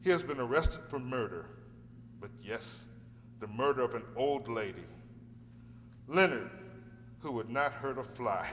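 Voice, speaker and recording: An actor playing an earnest, dogged defence barrister with formal, oratorical delivery in a radio play. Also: male voice, mid-range voice, animated, a little slow, long pauses, fairly bright tone. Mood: reading solemnly. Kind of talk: courtroom radio play acting. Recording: hall reverb with faint hum.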